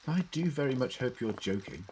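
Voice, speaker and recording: A man plays a confident, eccentric time traveller with a wry streak. His intonation is lively and self-assured. Clear noise sits behind this amateur audio drama. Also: mid-range voice, a little fast, close to an everyday voice. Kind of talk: fan audio drama acting.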